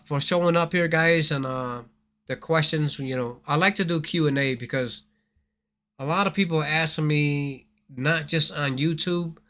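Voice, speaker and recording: Guy from thailand rambling on his game stream, repeats himself a lot, talks right to chat, average pitch. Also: fairly bright tone. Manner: rambling, repeats words, talks straight to viewers. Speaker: gaming livestream host. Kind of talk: casual livestream chat.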